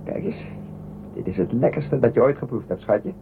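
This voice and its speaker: An actor playing an eager, impatient shuttle pilot, bossy but teasing, in a science fiction radio drama. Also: male voice, mid-range voice, lively intonation, normal speed, natural voice. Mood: teasing, intimate. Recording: thin phone-like band, clearly noisy.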